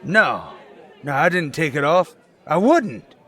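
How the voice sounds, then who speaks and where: A man playing a rattled small-time crook in a radio drama, with rough, slangy, defensive speech. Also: fairly high pitch, big pitch swings, takes it slowly, bright, forceful tone, some chatter behind, exaggerated voice. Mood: panicked, guilty. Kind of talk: radio drama acting as a gangster.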